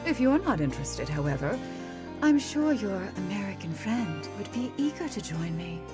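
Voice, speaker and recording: A woman voicing an inhuman creature posing as a mother in a visual novel, sugary, condescending and menacing, with theatrical, drawn-out endearments. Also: medium pitch, sing-song, music in the background, natural voice. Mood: condescending, sweetly menacing. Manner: theatrical, drawn-out endearments, mock-hurt sighs.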